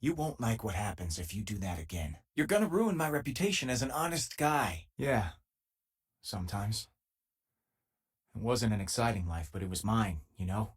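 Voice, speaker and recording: Loyal space game companion, ex-miner, husky low voice, easygoing, wry, widely varied intonation. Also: male voice, lively intonation, moderate pace. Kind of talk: video game companion dialogue.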